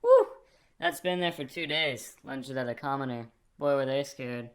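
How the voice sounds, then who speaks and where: A man's voice: mid-range voice, normal speed, clean tone, close to an everyday voice. A man playing a crass, self-absorbed king in a puppet film, talking in a casual, rambling, condescending way. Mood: smug, amused. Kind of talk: puppet film character acting.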